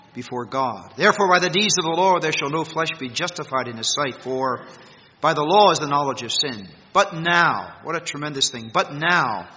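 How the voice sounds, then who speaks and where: High, bright American preacher in echoey hall. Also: male voice, slightly rough. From United States. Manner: emphatic, rises and drops sharply for stress. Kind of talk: sermon. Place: church meeting hall. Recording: echoey hall, dull lo-fi sound.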